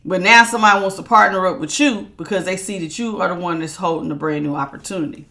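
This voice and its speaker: A woman's voice: fairly low pitch, fast, pushed and bright. A woman giving a casual tarot reading to her viewers, with a strong, projecting voice and an emphatic, authoritative way of talking that repeats phrases for stress. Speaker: tarot reader.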